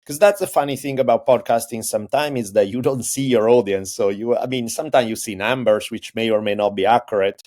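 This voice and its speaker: A man's voice: mid-range voice, brisk, hardly any pauses, a little mellow. A man, a history podcaster guest, explaining at length in a casual interview with thoughtful restarts.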